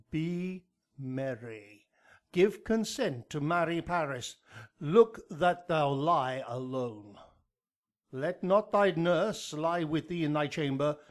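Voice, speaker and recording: An actor playing a solemn, fatherly friar in Shakespearean radio drama, with a grave, measured delivery. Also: a little high. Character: solemn, fatherly friar counselling a young woman.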